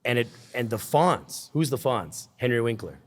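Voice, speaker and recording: A man's voice: medium pitch, sing-song, moderate pace. A man hosting a casual interview podcast, joking and teasing his guest. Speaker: podcast host.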